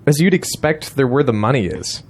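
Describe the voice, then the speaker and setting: A man's voice: medium pitch, sing-song, fairly quick, vocal fry, breathy edge, exaggerated voice. A man playing a blunt, persuasive political strategist in an audio drama, insistent and lecturing.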